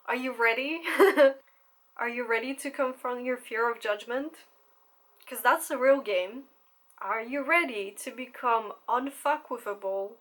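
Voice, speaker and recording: Slow-spoken woman, candid and laughing. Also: a little high, a bit bright. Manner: candid, swears freely, laughs often. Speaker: content creator. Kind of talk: casual talk to camera.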